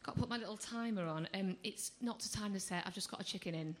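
A woman's voice: medium pitch, quick pace. A woman doing musical stand-up on stage, with an awkward, apologetic, understated delivery. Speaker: musical comedian. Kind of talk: stand-up between comic songs. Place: live comedy club stage. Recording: noisy live room.

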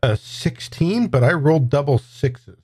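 A man's voice: a little high, expressive, a little slow, darkish tone, slightly rough, dry recording, clean studio sound. A man playing a tabletop game, casually and thoughtfully explaining what his character does. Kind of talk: casual in-game description and chat.

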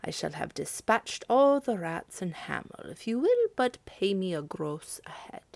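A woman voicing a sly, mocking male stranger bagpiper in a hushed whisper. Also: mid-range voice, sing-song, measured pace, creaky, no echo.

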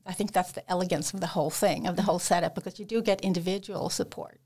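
Woman, university writing tutor, gravelly voice, halting and full of fillers, interview guest. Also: lowish voice, fairly even intonation, a little mellow. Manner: hesitant, many fillers and restarts. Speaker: academic English support tutor. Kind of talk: conversational interview answers.

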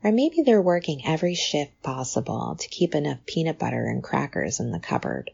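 A middle-aged woman hosting a podcast, reading a scripted introduction in an earnest, measured way. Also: low voice, sing-song, gravelly, darkish tone, slightly rough, highs cut off. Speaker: podcast host.

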